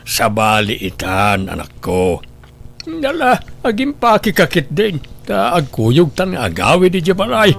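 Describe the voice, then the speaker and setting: A man with an Ilocano Filipino accent playing a gentle, sorrowful father who tries to keep peace, in radio drama style. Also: on the high side, huge pitch swings, a little slow, gravelly, full character voice. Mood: gentle, somber.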